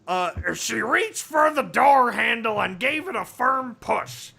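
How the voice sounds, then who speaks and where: A man putting on a raspy, strained, grating comic narrator voice to read a story in a mock-dramatic way. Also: extremely high pitch, expressive, takes it slowly, sharp tone, heavily acted. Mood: strained, exaggerated. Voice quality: raspy, gravelly. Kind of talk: mock-dramatic reading of fan fiction.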